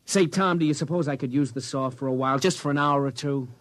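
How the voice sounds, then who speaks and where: An actor playing a mild, agreeable village builder in an old radio comedy, polite and laconic. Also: male voice, mid-range voice, fast talker, close to an everyday voice. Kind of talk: 1950s radio comedy drama acting.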